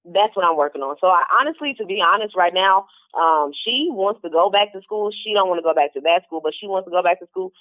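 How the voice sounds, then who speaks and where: A woman's voice: fairly low pitch, fast, no echo. Over a narrow, dry telephone connection, a woman talks as a guest on a call-in show. She is casual and a little hesitant, thinking aloud as she explains. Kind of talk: casual phone interview. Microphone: phone call. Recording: muffled, dry telephone line.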